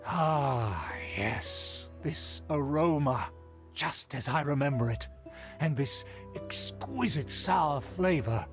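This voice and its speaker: An actor voicing a pompous, proud middle-aged nobleman in a video game, who speaks formally and slowly. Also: on the high side, animated. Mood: pleased. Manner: pompous, formal and slow-spoken. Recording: game audio with faint music behind.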